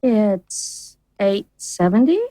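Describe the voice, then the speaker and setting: An actress playing a snide, amused librarian in an audio drama, dry and condescending. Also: female voice, medium pitch, expressive, extremely slow, dark tone, no echo. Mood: curt. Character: snide, amused female librarian, curtly helpful.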